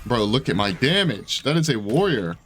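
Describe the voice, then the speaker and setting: A man's voice: mid-range voice, big pitch swings, normal speed, fairly bright tone, a little hiss or hum. A man giving casual, rambling gameplay commentary in slangy gamer talk, sounding congested, with his voice slightly giving out. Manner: slangy, rambling, self-deprecating, says dude and bro. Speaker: gaming YouTuber.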